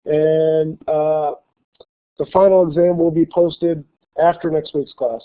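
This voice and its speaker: A male college instructor giving a classroom lecture with a slight cold, talking haltingly with many fillers and restarts. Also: fairly high pitch, steady tone. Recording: tinny phone-like lecture recording.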